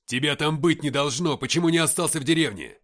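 Man playing army comrade, brusque, urgent, blunt, lively swings, mid-pitched. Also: normal speed, slightly rough, heavily acted. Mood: scolding. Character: brusque army comrade reporting over radio under pressure.

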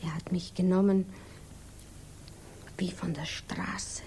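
An actress playing a naive, lovestruck and anxious woman in a 1950s German radio drama, confiding hesitantly and often slipping into breathy whispers. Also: lowish voice, steady tone, takes it slowly, dark tone, slight background noise. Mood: despairing, yearning.

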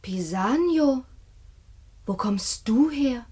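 Eine Schauspielerin spielt in einem klassischen Hörspiel eine junge, leidenschaftlich liebende, trotzige Prinzessin, deklamierend und immer wieder hauchend. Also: female voice, on the high side, expressive, drawn-out words, darkish tone, full character voice. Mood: longing, urgent. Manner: declamatory, dropping into breathy whispers. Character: young princess, passionate, grieving, defiant lover. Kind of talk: classical verse radio drama.

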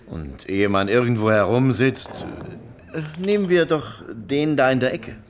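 A man's voice: mid-range voice, lively intonation, measured pace, slight background noise. An actor playing a courteous, reserved middle-aged businessman in a naturalistic radio play, polite, formal and slightly hesitant. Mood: polite, tentative. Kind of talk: naturalistic radio drama acting.

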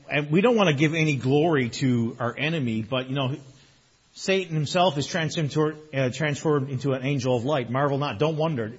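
A male pastor preaching a sermon in a conversational way, his voice a little strained, swinging between quiet and loud. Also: medium pitch, husky, dull audio. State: slightly strained voice.

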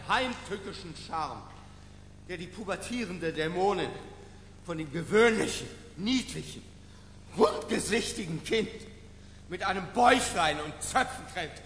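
Agitated high-voiced male lecturer, echoing hall. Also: a bit bright. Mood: intense lecturing. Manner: halting, fussy, emphatic lecturing. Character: agitated, pedantic middle-aged male academic lecturer, obsessive and unstable. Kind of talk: theatrical radio play monologue. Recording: reverberant lecture hall, faint noise.

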